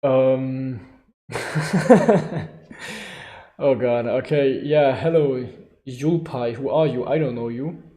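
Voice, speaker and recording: A man's voice: steady tone, slow pace, long pauses, phone-quality sound. A man in his twenties with a German accent, chatting loosely on a live Q&A stream, often restarting sentences and laughing. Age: 20s. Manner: rambling, self-correcting, laughing often. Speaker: livestream host. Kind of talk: casual live Q&A. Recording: echoey room with low-quality stream audio.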